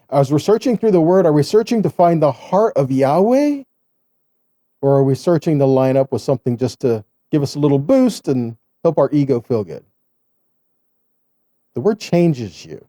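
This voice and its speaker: A man's voice: fairly high pitch, warm tone, no room sound, studio quality. A man giving an informal sermon as a Bible teacher, earnest and exhorting, often checking in with rhetorical questions. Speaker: Bible teacher, host of online Torah studies.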